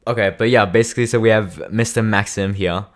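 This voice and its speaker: Low-voiced young podcast host, late teens, chatty and eager. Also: male voice, fairly even intonation, brisk. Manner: chatty, eager, frequent affirmations. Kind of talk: casual chat.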